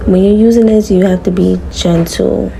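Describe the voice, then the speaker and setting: A young woman with a raspy voice chatting casually to viewers as she styles her hair, relaxed and full of slang, in a small room. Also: medium pitch, moderate pace, a little hiss or hum, rough recording. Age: young adult. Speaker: beauty vlogger. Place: small room before a green screen.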